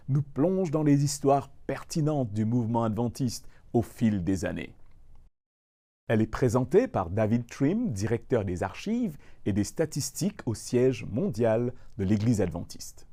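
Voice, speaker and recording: Man, news voice-over, animated pitch, mellow tone. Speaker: news narrator. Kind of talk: scripted news narration.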